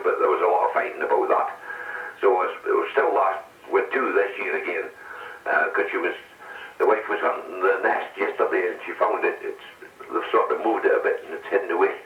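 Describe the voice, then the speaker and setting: Older man, deep voice, casual unhurried rambling, boxy distant CB radio transmission. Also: echoey room, narrow, tinny audio. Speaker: amateur CB radio operator.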